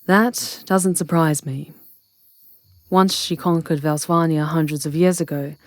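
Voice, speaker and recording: Casting: woman as witch commander, low-pitched, practical and conversational, dry close sound, fantasy ASMR roleplay. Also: a little mellow, no room sound. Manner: plain-spoken, conversational, explanatory. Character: matter-of-fact witch leader, ally of a vampire hunter.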